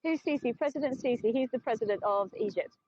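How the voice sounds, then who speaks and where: An English woman in middle age leads a tour outdoors, talking in a chatty, self-mocking way with a tired edge. Her voice sits a little high. The sound is dry and dull, like a phone stream. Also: quick pace, darkish tone, dry recording. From England. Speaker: tour guide. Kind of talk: live-streamed walking tour. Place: outdoors on an open plateau.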